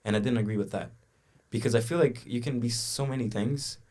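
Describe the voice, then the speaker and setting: A man's voice: mid-range voice, fairly quick, darkish tone. A young man from Angola in casual podcast conversation, explaining his view at length with frequent restarts. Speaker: podcast co-host.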